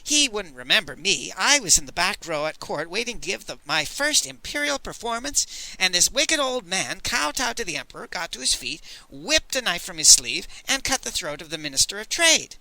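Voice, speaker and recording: A man's voice: high-pitched, sing-song, normal speed, bright, forceful tone, dry recording, pitched above the performer's own voice, heavily acted, rough recording. A man voicing a cheeky, flamboyant young male courtier who tells gleeful, theatrical gossip. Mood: gossipy. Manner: chirpy, gleeful, theatrical storytelling.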